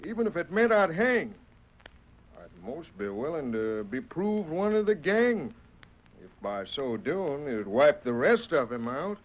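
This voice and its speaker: An actor playing an earnest, indignant cowboy prisoner in a Western radio drama, speaking plain, emphatic frontier dialect. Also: male voice, a little high, sing-song, a little slow. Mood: earnest pleading. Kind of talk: 1930s-40s Western radio acting. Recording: muffled old radio recording.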